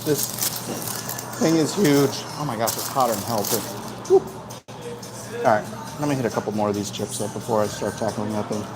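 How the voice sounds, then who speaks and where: A man's voice: on the low side, moderate pace, pauses often, poor audio. A man chatting casually to a livestream while he eats, talking between bites with savoring hums. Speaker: livestream host. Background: music.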